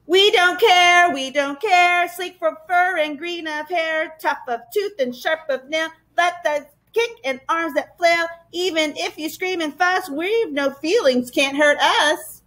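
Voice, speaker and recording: A woman's voice: extremely high pitch, sing-song, takes it slowly, bright, forceful tone, voice pushed up, exaggerated voice. A woman voicing gleefully nasty, furry green swamp monsters that taunt and chant in a storybook reading. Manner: shrieking, taunting, sing-song chanting.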